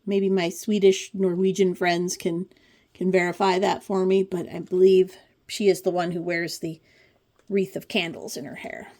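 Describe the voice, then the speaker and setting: A woman's voice: lowish voice, flat delivery, moderate pace, darkish tone. A woman in her forties hosting a craft video, talking casually through a flip-through with chatty, wry asides. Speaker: craft channel host. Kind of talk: casual commentary on a pattern book flip-through.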